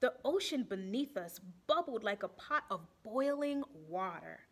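A young woman reading a picture book aloud to children in an expressive storytime style, pausing to put questions to them. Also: a little high, slightly rough. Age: young adult. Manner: animated, asks the children questions.

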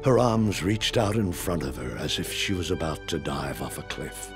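An actor narrating a science fiction audio drama as a grave storyteller. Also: male voice, extremely low pitch, animated, normal speed. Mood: solemn. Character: grave male narrator of a space adventure. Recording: music under the voice.

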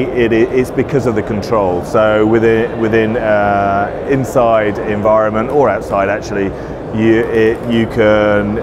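Male executive, forties, halting but keen conversational interview delivery, clear exhibition noise behind him. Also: lowish voice. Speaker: managing director being interviewed. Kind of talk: conversational trade-show interview. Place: large indoor trade show floor. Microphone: lapel. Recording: noisy busy exhibition hall. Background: loud trade show ambience.

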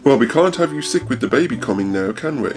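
A man's voice: medium pitch, lively intonation, quick pace, darkish tone, faint music behind, lightly acted. A man voicing a jealous, angry young husband, raising his voice to accuse. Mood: cold. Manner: raised, accusing, hammy.

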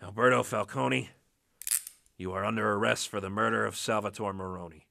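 Man as gruff cop, gravelly forceful voice, righteous, firm, radio noir drama. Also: on the low side, brisk, full character voice. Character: stern, righteous male police officer.

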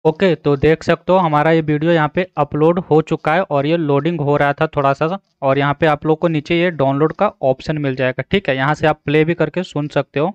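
A man's voice: fairly high pitch, fairly even intonation, a little fast, hardly any pauses, smooth, no echo, muffled recording. A man narrating a casual step-by-step app tutorial in an instructional manner, recorded as a voice-over. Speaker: tutorial host.

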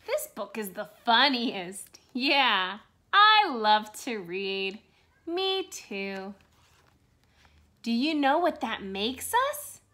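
Woman, storybook read-aloud, high voice, bright tone, slow deliberate pace, expressive character delivery. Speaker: early childhood storybook reader. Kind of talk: animated children's picture book read-aloud.

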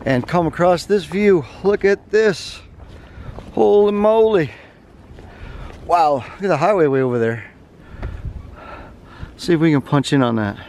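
A man's voice: a little high, unhurried, pauses often, a little mellow. Guy in his 30s talking to his camera on the trail, folksy and easygoing, kinda worn out, faint outdoor noise. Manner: folksy, easygoing, talks to the camera while hiking. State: tired. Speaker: thru-hiker vlogger. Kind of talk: casual trail vlog narration. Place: outdoors on a wooded mountain trail. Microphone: handheld camera at arm's length.

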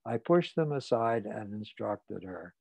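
A man's voice: fairly low pitch, lively intonation, gravelly, a little mellow, dry recording, pitched below the performer's own voice, exaggerated voice. A man reading an audiobook, voicing a frightened, ashamed man who speaks in a muted voice. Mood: shamed. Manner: muted, halting, confessional. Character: ashamed, frightened middle-aged man confessing.

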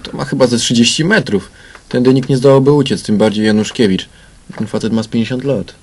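A man playing a brisk, blunt police inspector with colloquial, halting speech in a crime radio play. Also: mid-range voice, steady tone, a little mellow, a little hiss or hum, natural voice. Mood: businesslike, probing.

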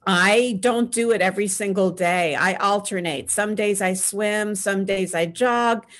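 A woman's voice: mid-range voice, a little slow, a bit bright. Middle-aged woman giving earnest health advice. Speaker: panelist. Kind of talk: panel discussion on a video call. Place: small home room with framed pictures. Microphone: webcam on a video call.

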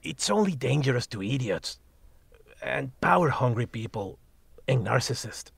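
A man voicing a sardonic magical talking cat who mentors the family, blunt and lecturing, husky in tone. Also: medium pitch, lively intonation, normal speed, no room sound, exaggerated voice. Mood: wry. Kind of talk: audio drama acting.

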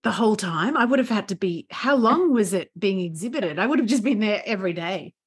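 A woman's voice: medium pitch, expressive, fairly quick, husky. A woman hosting a podcast interview, talking casually and enthusiastically and laughing often. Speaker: podcast host.

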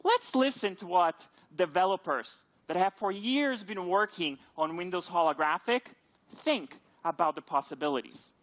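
A man giving a keynote product presentation on stage, speaking in emphatic, deliberate short phrases. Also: sits high, expressive, a bit bright. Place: large auditorium stage with seated audience.

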